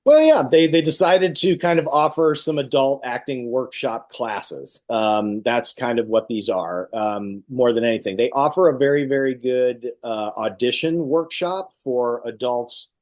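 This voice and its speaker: A man speaks as a guest in a relaxed interview, at a middling pitch. He explains his points conversationally, like a stage actor talking shop. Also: unhurried, hardly any pauses. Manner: explanatory, illustrating with acted-out quotes. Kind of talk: casual interview talk.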